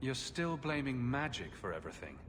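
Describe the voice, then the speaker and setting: A flippant, confident fantasy game champion. He talks directly in a mid-pitched voice with animated swings of intonation. There is clear noise behind him. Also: moderate pace. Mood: earnest. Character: wry male hero champion, confident, flippant. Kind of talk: video game cutscene dialogue.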